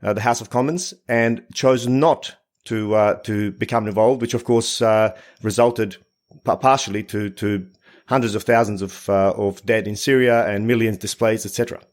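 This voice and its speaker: A man from Bosnia hosting a podcast interview, asking long questions in a hesitant, halting way. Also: fairly low pitch, fairly even intonation. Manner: hesitant, halting, many fillers and restarts. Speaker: podcast host.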